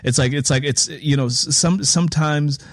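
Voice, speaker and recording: A man talking casually as an author in an interview, telling stories in an animated way and repeating his phrases as he goes. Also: on the high side, moderate pace, no room sound, clean studio sound. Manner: animated storytelling, repeats phrases and acts out quotes. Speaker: author in conversation about writing.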